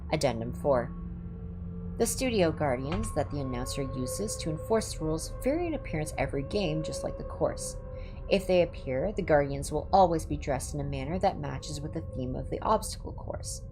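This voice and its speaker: A woman livestream host giving an ASMR reading of scripted horror entries in a measured, clinical manner. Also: sits low, expressive. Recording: music behind the voice. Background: background music.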